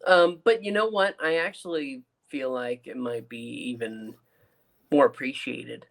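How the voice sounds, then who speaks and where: A man's voice: mid-range voice, a little slow, vocal fry, a bit bright. A man co-hosting a casual review chat, giving his opinions with emphasis. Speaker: podcast co-host reviewing audio dramas.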